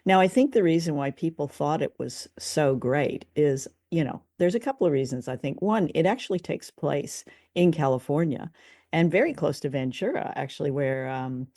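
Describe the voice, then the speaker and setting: A woman's voice: deep voice, animated, moderate pace, mellow, no room sound, studio quality. A woman co-hosting a radio show, chatting casually and conversationally as she reviews drama.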